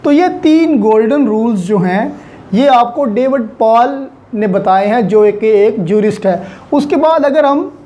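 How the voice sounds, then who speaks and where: Pakistani guy teaching law, really slow, mellow voice, keeps rewording stuff with english terms mixed in. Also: very high voice, lively intonation. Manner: repeats and rephrases points, mixing in English terms. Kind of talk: explanatory lecture.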